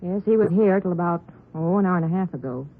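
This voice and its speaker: A concerned, polite witness in a vintage radio crime drama. She is hesitant and slightly husky, heard through a muffled old recording. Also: on the low side, fast talker. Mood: worried. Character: concerned, polite ordinary woman questioned by police.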